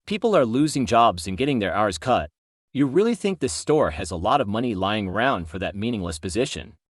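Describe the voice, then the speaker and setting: A man's voice: fairly low pitch, big pitch swings, fairly quick, no echo, clean studio sound. A man voicing a sassy, amused female customer in a story with a sarcastic manner. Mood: mocking. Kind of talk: acted story dialogue.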